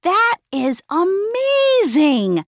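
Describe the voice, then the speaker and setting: A man reading a storybook aloud and voicing a sad, wistful little girl who speaks slowly and wonders aloud. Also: extremely high pitch, wildly swooping pitch, a bit bright, no echo, clean studio sound, voice pushed up, exaggerated voice. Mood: eager.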